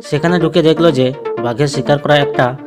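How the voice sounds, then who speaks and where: A man's voice: a little high, fairly quick. A man narrating a scripted children's animal story as the storyteller of a cartoon. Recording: loud music under the voice.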